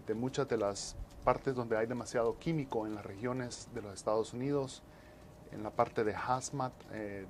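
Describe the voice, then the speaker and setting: A man speaks as an expert guest in an interview. His voice sits at an average pitch, and he explains in measured, halting phrases. There is noticeable noise in the recording. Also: a little mellow. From El Salvador. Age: 40s. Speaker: interview guest, disaster management specialist.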